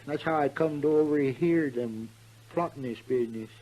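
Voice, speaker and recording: A man's voice: mid-range voice, normal speed, warm tone, natural voice, low-quality recording. An actor playing a frail, tired old man who tells his tale in a folksy drawl, in a 1950s western radio drama. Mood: weary, confiding. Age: older. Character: weary old man, proud tall-tale teller, dying. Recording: vintage 1950s radio recording.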